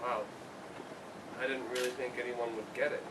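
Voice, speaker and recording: A man playing a laid-back, reserved student in an amateur stage play reading, low-key and terse. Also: little pitch movement, normal speed, close to an everyday voice. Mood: appreciative. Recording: distant mic, clearly noisy live room.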